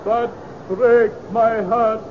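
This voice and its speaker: A terrible, bombastic tragedian in a 1950s radio comedy western. His voice is pitched high, and he declaims very slowly and melodramatically. The old recording is muffled. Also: steady tone, background noise, full character voice. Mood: anguished, melodramatic. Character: hammy, bombastic bad stage actor playing Hamlet.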